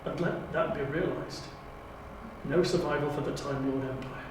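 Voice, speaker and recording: A man's voice: on the high side, fairly even intonation, moderate pace, close to an everyday voice. A man playing a grandiose, scornful Time Lord councillor with pompous, declamatory delivery for an audio drama audition. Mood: grand, fervent. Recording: very echoey room, distant mic, faint noise.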